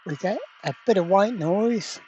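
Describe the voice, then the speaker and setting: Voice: mid-range voice, measured pace, mellow, dull audio, slight background noise. A repair vlogger giving casual, hands-on narration, thinking aloud in a blunt, exasperated way. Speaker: host of a radio repair channel.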